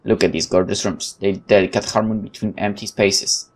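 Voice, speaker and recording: Low-voiced man doing game commentary. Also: fairly even intonation, fairly quick. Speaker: gaming video host. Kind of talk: let's play commentary, reading game text aloud.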